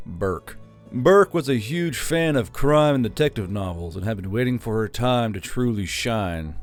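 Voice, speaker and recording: A man narrating a fantasy novel in a steady storytelling manner, with dry, flat touches. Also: medium pitch. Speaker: audiobook narrator.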